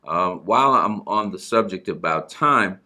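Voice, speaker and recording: Middle-aged guy hosting a talk show, really deep voice, earnest, kinda halting. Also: animated, pushed and bright. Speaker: broadcast host. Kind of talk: conversational talk show commentary.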